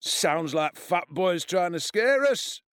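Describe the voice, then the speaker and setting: A man's voice: high-pitched, expressive, normal speed, no room sound, clean studio sound, pitched above the performer's own voice. A man voicing a cruel, swaggering schoolboy bully in an audiobook, sneering and taunting as he talks.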